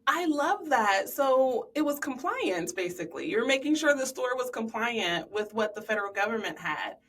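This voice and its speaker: Enthusiastic woman podcast host, higher voice, a bit far from the mic. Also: big pitch swings, moderate pace, a bit bright. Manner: enthusiastic, affirming interviewer. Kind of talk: casual interview chat.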